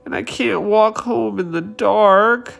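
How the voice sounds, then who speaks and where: A storyteller voicing a greedy little male bee in a children's story, with high, exaggerated cries. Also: expressive, slow pace, gravelly, fairly bright tone, faint music behind, full character voice. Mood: wailing.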